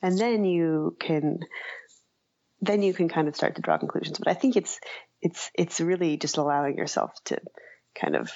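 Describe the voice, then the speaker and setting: A woman with a low voice and plenty of vocal fry answers interview questions in a casual way. She sounds tentative and self-effacing, often searching for the right phrase. The audio is dry and somewhat muffled. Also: fairly even intonation, normal speed, darkish tone, no room sound. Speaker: interview guest, writer and author.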